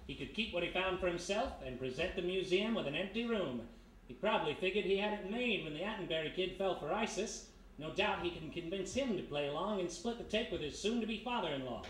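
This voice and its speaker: A man's voice: high-pitched, fairly bright tone. A man playing a brash, wisecracking American private detective in a live 1930s-style radio play. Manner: wisecracking, slangy, explaining confidently. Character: brash American private detective, reformed thief, laying out a case. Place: live performance in a historic house. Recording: roomy live stage performance, faint noise.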